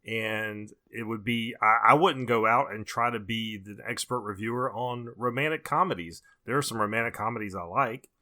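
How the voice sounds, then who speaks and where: A man co-hosting a podcast, giving measured, considered opinions in casual film-review chat. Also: fairly low pitch, bright, forceful tone. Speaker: podcast co-host.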